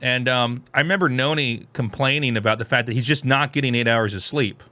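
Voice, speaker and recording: An American man hosting, reacting to a video in casual commentary, animated and full of restarts. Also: mid-range voice, brisk, no echo. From United States. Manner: animated, restarts often, exclaims loudly.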